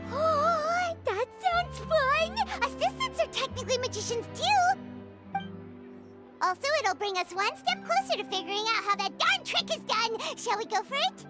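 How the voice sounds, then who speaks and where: A woman voicing a tiny, excitable childlike companion in an anime-style video game, with a squeaky, eager delivery. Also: normal speed, over loud music. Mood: excited, curious. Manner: bubbly, exaggerated, eager.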